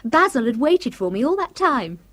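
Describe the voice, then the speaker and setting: A woman's voice: sits high, very animated, moderate pace, heavily acted. An actress playing an affectionate, gushing young woman secretary in a radio drama. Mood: fond. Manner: warm, gushing, fond. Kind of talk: 1970s radio drama acting.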